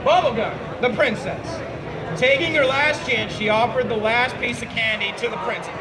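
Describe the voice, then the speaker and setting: Male comic storyteller, very high-pitched, theatrical and halting, working a live audience in a loud, bustling space. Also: over loud music, over a noisy crowd. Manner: theatrical, halting, heckles own story with asides. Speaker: storyteller leading an audience word game. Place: busy public venue with a crowd. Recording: noisy crowded public space, loud background bustle.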